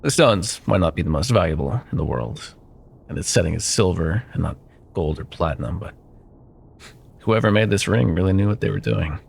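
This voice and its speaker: A smug, wealthy CEO ex-lover played by a man in an ASMR audio drama. His voice is very low and breathy, with a gravelly creak. He drawls with silky condescension, possessive and menacing, leaving pointed pauses. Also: animated, exaggerated voice. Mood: quietly covetous. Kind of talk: ASMR roleplay, intimate dramatic monologue.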